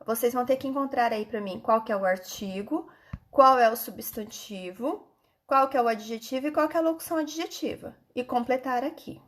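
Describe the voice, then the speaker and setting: Higher-pitched Brazilian woman teaching children. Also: a little fast. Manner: instructive, frequent check-in questions. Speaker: schoolteacher. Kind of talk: explaining textbook exercises to children in an online lesson.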